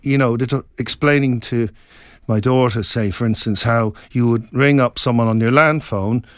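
Middle-aged male author from Scotland, mid-pitched, reflective and rambling interviewee. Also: fairly even intonation, no room sound, studio quality. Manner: reflective, meandering, halting with many restarts. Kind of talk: casual interview chat.

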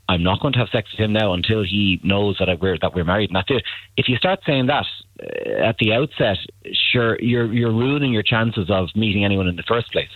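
A man's voice: lowish voice, dry recording. A man giving blunt, emphatic dating advice on a radio call-in, often repeating himself. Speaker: matchmaking agency guest. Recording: tinny phone line, dry.

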